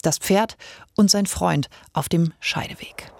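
A woman presents a scripted cultural radio programme. Her voice has clear vocal fry. The studio sound is very dry and close to the microphone. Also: fairly low pitch, measured pace, darkish tone, dry recording, whispered. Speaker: radio host.

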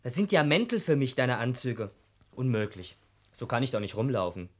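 A reluctant boy in a radio drama, medium in pitch. He hesitates, asks back and stubbornly holds his ground. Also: sing-song, moderate pace. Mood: reluctant, wary. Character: Jonathan, a reluctant, questioning boy in the family. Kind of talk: German radio play acting.

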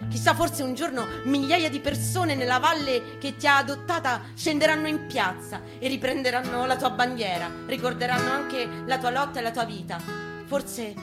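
A woman's voice: high-pitched, normal speed. A woman with a Roman accent performing an intense, colloquial spoken-word reading. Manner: intense, colloquial, profane delivery. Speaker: performer reading her piece aloud. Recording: live guitar under the voice. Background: acoustic guitar music.

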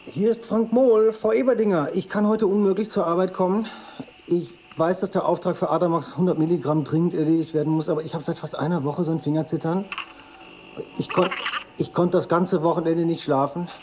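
An actor playing a nervous hypochondriac office worker who rambles and hesitates, in naturalistic radio play style. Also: male voice, fairly high pitch, fairly even intonation, brisk, a little mellow, close to an everyday voice. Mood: anxious rambling. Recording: slightly muffled with faint background noise.

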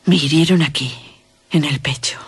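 Whispery young woman, very breathy, languid, enigmatic, cruelly loving, Castilian accent, radio drama. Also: deep voice, moderate pace. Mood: haunted, distant. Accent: Castilian Spanish.

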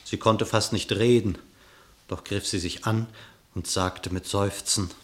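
A man's voice: lowish voice, measured pace. A man narrating a verse fable in a radio play, telling it expressively. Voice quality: expressive storytelling, dramatic.

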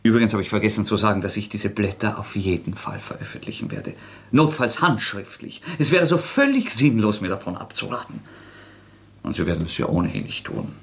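An actor playing a proud young intellectual murderer in a radio drama, sarcastic, agitated and theatrical, defiant and brittle. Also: male voice, on the low side, sing-song, fairly quick, vocal fry. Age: young adult. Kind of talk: German radio drama acting.

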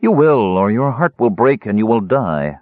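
A man's voice: medium pitch, very animated, moderate pace, no room sound, lightly acted. A man voicing a proud, fierce woman gladiator with a throaty voice and an odd accent, speaking curtly and coldly. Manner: curt, sharp, cold. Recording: tinny phone-like dry audio.

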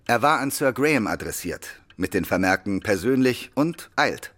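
An actor playing a serious, dutiful police major, measured and deferential, in a German radio play. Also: male voice, lowish voice, lively intonation, fairly bright tone. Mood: formal, reading aloud.

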